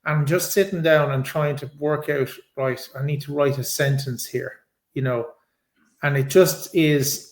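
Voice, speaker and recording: A middle-aged Irish man chatting on a podcast, laughing often and restarting his sentences in a self-deprecating way. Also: fairly high pitch. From Ireland. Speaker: podcast co-host. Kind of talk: casual podcast chat. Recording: echoey room.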